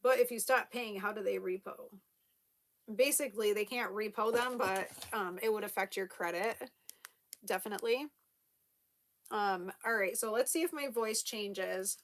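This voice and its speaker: A woman's voice: medium pitch, normal speed. A young woman with a slight lisp talks casually to her camera at home. She rambles through viewer comments with fillers, restarts and frequent laughter. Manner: chatty, laughs often, many fillers and restarts. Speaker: vlogger reading viewer comments.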